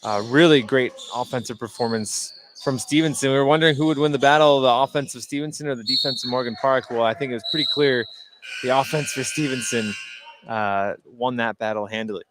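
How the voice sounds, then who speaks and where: A man's voice: medium pitch, brisk. An American man works as the color commentator on a live basketball broadcast. His voice is mid-range and his analysis is conversational. A faint wash of noise sits behind him. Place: indoor gym at a basketball game.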